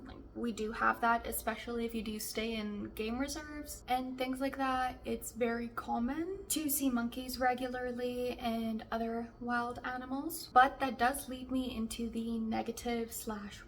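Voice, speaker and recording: A woman's voice: fairly high pitch, fairly even intonation, a little slow, few pauses. A young South African woman with a South African accent reviewing a book in a casual chatty vlog, full of "like" fillers. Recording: distant mic with faint background hiss.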